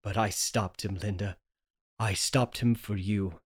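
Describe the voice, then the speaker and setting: A man's voice: lowish voice, steady tone, measured pace, breathy edge. Man acting a frantic, guilt-ridden melodramatic husband. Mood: hushed, obsessive. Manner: melodramatic, pleading, overwrought. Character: frantic, guilt-ridden husband doting on his cat-turned wife. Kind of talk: 1930s horror radio play table read.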